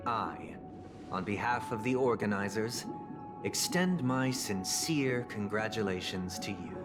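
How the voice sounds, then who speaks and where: An actor playing a composed, courteous male leader in a video game, speaking in measured, solemn oratory. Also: medium pitch, close to an everyday voice. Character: composed, formal male leader of a noble family. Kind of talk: video game story voice acting, formal speech.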